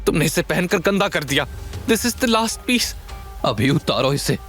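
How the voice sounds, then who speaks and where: A man voicing a rude, furious, spoiled teenage French girl in an audio drama, shouting with entitlement over music. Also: on the high side, very animated, unhurried, pitched above the performer's own voice. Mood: angry, demanding.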